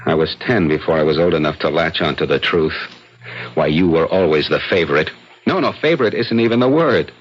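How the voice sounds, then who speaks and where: A deep-voiced, bitter, mocking twin. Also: male voice, animated, brisk. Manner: sardonic, theatrical, flowery. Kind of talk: old-time radio drama acting. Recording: dull, lo-fi radio drama recording.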